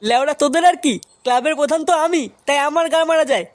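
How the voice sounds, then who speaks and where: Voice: high voice, fast, no echo, lightly acted. A performer voicing a hot-tempered, foul-mouthed young male club leader in a comic village cartoon, bossy and scolding. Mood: angry, scolding. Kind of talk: crude comic cartoon dub.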